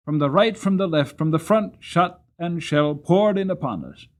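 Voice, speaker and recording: A man voicing an old war horse in a storybook, mournful and proud, with solemn, measured, emotive delivery. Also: on the high side, voice pushed up, close to an everyday voice. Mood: urgent, intense. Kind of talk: dramatic audiobook reading.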